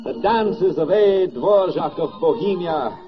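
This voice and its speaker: An actor playing a grave, bitterly ironic wartime narrator in a 1940s radio drama, speaking in measured, declamatory phrases. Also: male voice, sits high, lively intonation. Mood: ironic grandeur. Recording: dull vintage 1940s radio recording, music behind. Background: music.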